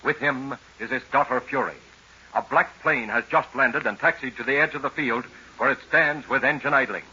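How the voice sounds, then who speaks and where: A man's voice: medium pitch, moderate pace, sharp tone, husky. A man narrating a 1940s radio serial as announcer, with scripted dramatic delivery. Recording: muffled old radio recording.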